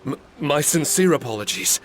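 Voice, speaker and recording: A man's voice: fairly high pitch, animated, moderate pace, a little hiss or hum, natural voice. A man voicing a humble, apologetic guardian spirit in a video game, speaking politely and formally with earnest self-doubt. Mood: apologetic, dejected.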